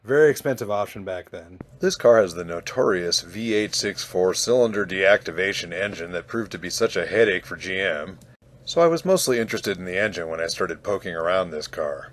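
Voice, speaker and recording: A man's voice: low voice, animated, a little fast, fairly bright tone. A man presenting a casual hands-on demonstration in his garage, talking with dry, wry humor. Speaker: presenter, junkyard parts hobbyist. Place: home garage.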